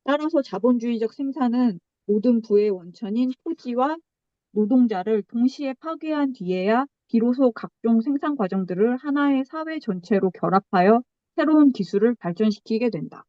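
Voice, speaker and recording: Higher-voiced woman reading aloud on dull audio. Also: lively intonation, moderate pace, dry recording. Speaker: seminar presenter.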